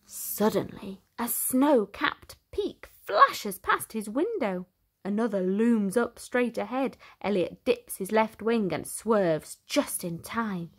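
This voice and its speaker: A woman reading a children's storybook aloud in an expressive, theatrical way with deliberate emphasis. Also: mid-range voice, breathy edge. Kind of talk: dramatic storytime narration for young children.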